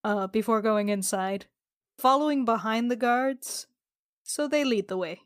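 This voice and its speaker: Higher-pitched woman, casual tabletop player. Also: a little slow, no room sound, studio quality. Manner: informal, joking. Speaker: player in a tabletop role-playing game. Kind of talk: casual improvised game chat.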